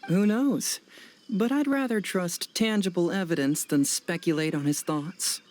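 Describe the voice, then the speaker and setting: Composed, higher-pitched young detective. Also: male voice, a little mellow, a little hiss or hum. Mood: serious, investigative. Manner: measured, formal, matter-of-fact. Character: composed young male detective, serious and analytical. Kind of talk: English video game dub acting.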